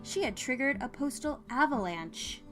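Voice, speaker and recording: A woman's voice: sits high, expressive, normal speed. A woman narrating a scripted story as an audiobook-style storyteller. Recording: music under the voice.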